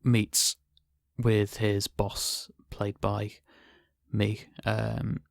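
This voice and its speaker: A man's voice: on the low side, monotone, very slow, vocal fry, no echo, studio quality. A man hosting a podcast in casual chat, with a hesitant, understated way of talking. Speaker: podcast host.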